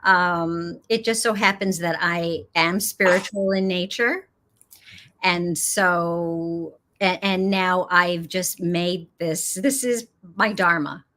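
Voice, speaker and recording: A middle-aged woman from Los Angeles, a yoga teacher speaking as an interview guest in an unhurried way, laughing often and pausing with frequent "um"s. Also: lowish voice, fairly even intonation, pushed and bright. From Los Angeles, United States. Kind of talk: casual interview chat.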